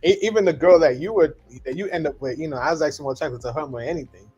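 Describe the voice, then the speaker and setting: A man's voice: a little high, very fast, darkish tone, lo-fi sound. A man from Trinidad, co-hosting a casual podcast chat and talking bluntly with jokes and repeated words. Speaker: podcast co-host.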